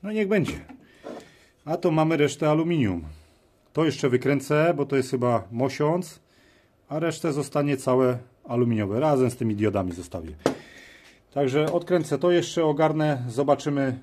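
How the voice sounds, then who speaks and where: A man's voice: medium pitch, lively intonation, normal speed, a little mellow. Man muttering casually over noisy workbench. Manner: informal, muttering to himself in short phrases. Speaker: video host. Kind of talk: casual running commentary while working. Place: cluttered workshop bench, indoors. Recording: clear background noise with clicks. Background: noise and clicks of tools and parts.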